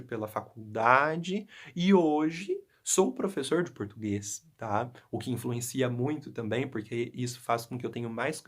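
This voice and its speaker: Brazilian male teacher explaining a lesson. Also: mid-range voice. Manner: explanatory, frequent tag questions. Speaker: Portuguese teacher. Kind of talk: recorded class lesson.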